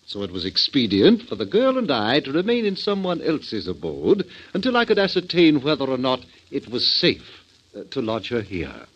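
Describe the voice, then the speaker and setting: Fancy-talking crook professor, calm n condescending, swoopy delivery, muffled 40s radio sound. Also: male voice, medium pitch, moderate pace. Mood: smooth, condescending. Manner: formal, wordy diction, condescending. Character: polished, erudite criminal mastermind called Professor, calm and courteous. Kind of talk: 1940s radio drama acting. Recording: dull old 1940s radio recording.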